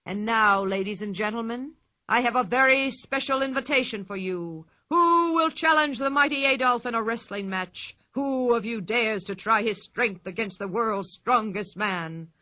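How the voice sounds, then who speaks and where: A woman reading a storybook aloud, voicing a loud, showy male circus ringmaster who stretches his words as he announces. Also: no echo. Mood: grandly announcing. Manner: booming, drawn-out showman announcing.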